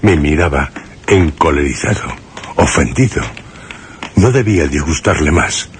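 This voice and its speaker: Scared but careful storyteller in radio theatre, super low voice, grave and dramatic. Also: male voice, lively intonation, slight background noise. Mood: tense, grave. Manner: grave, dramatic, measured phrasing with pauses. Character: fearful, cautious man narrating his ordeal at gunpoint. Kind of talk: first-person suspense radio drama narration.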